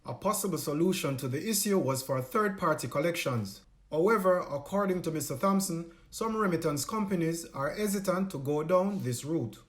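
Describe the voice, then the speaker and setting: Higher-pitched Jamaican male news reporter. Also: expressive, normal speed, few pauses. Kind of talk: scripted report narration.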